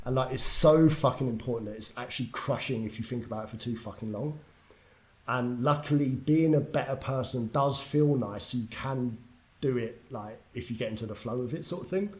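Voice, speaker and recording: A young man from England tells his story as a podcast guest, candid and loose. He swears often and fills his sentences with "like" and "um", at a middle pitch. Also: normal speed, low-quality recording. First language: English. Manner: candid, swears freely, lots of fillers. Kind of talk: casual storytelling.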